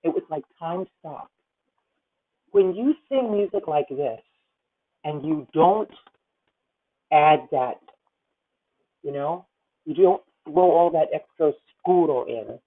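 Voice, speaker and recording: A woman's voice: low voice, animated, slow pace, long pauses, slightly rough. A woman in her fifties coaching a singer over a video call, effusive and rambling, calling her student honey. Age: 50s. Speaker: voice teacher. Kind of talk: masterclass coaching. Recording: tinny video call audio.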